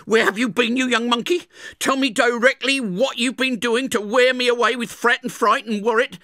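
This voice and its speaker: A man doing a shrill scolding housewife. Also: fairly even intonation, normal speed, bright, forceful tone, dry recording, clean studio sound. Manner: snappish, scolding. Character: shrill, scolding, bad-tempered blacksmith's wife.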